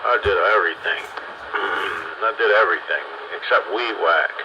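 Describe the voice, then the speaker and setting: A man's voice: sits low, normal speed. An American man in casual, folksy conversation, telling stories in colloquial phrases and often calling listeners "man.". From city in the eastern US. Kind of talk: casual chat, storytelling. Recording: muffled radio link with loud static.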